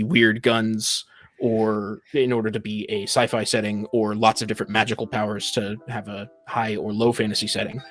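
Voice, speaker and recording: A man's voice: lowish voice, a bit bright. A man in a casual discussion, explaining things in an analytical, precise and somewhat argumentative way. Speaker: panelist in a tabletop gaming discussion.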